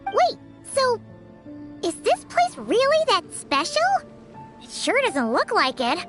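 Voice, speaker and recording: A woman voicing a tiny childlike female fairy companion in a video game, blunt and excitable, with a squeaky cartoonish delivery. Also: slow, music in the background, heavily acted. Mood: puzzled, skeptical. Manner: refers to herself in third person, squeaky, blunt.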